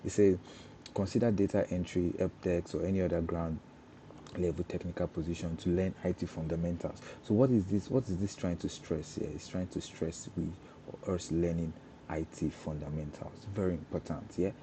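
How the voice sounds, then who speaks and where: A man's voice: deep voice, fairly even intonation, moderate pace, warm tone, slight background noise. A young Nigerian man, a Yoruba speaker, giving an informal talk on breaking into cybersecurity, often restarting and repeating words as he explains. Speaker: cybersecurity trainer.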